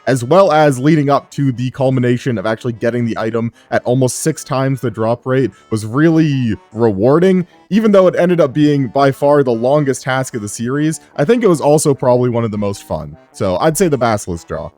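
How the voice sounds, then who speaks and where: Male gaming host, relaxed rambling commentary, slightly sick, mid pitch, faint music underneath. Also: brisk, hardly any pauses. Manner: relaxed, rambling, frequent "uh" fillers. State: sick, strained low voice. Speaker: gaming YouTuber. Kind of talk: casual gameplay commentary.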